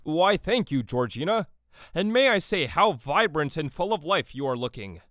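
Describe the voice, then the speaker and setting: A man's voice: fairly high pitch, sing-song, moderate pace, fairly bright tone, dry recording, clean studio sound. A man playing a pompous, formal internet news anchor in an audio comedy parody. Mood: pompous, solemn.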